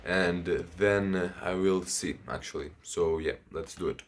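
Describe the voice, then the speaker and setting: A young man with a very low voice talks to his camera in a loose, rambling way, often restarting his sentences. He is from Spain and Ukraine, is not a native English speaker, and sounds tired from little sleep. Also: unhurried. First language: Spanish or Ukrainian. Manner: rambling, self-reflective, frequent restarts. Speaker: vlogger. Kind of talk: casual vlog talking to camera.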